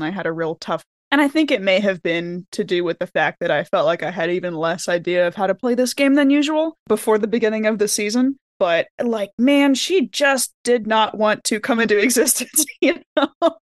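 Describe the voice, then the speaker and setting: A woman's voice: mid-range voice, animated, moderate pace, no room sound, clean studio sound. A woman chatting casually as a podcast cast member, often laughing as she talks. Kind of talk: casual chat.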